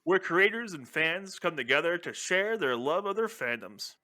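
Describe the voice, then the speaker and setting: A man's voice: fairly high pitch, sing-song, moderate pace, bright, forceful tone. A man co-hosting a podcast, wisecracking in casual banter. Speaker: podcast co-host.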